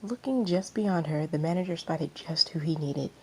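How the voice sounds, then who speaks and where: Female story reader: slightly low, relaxed tone, expressive narration, faint noise behind the voice. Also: normal speed, rough recording. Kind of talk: expressive fan fiction narration.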